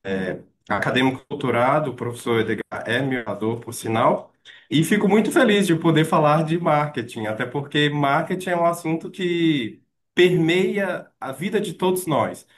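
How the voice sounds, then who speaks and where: A man's voice: on the high side, animated, moderate pace. Maranhão-accented man giving an online lecture. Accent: Maranhão Brazilian Portuguese. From Maranhão, Brazil. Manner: polite, hesitant with fillers. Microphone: video call.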